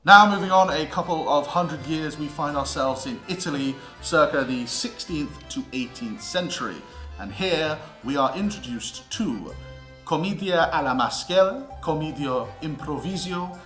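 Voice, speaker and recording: A man's voice: fairly high pitch, fairly bright tone. A British man presenting a scripted history lesson in a theatrical, mock-lecturing way, with emphatic delivery and comic asides. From United Kingdom. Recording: roomy echo with music underneath. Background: background music with guitar.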